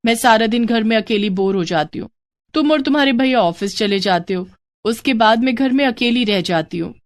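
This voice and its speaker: A woman's voice: fairly high pitch, moderate pace, lightly acted. A woman playing a caring, persistent married sister-in-law in a moral story, earnest and coaxing. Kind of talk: Hindi moral story character voice.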